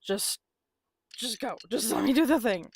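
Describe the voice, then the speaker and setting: A woman's voice: on the high side, expressive, unhurried, slightly rough. A woman live-streaming a game, talking casually and candidly. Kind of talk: casual live-stream chat over gameplay. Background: video game music.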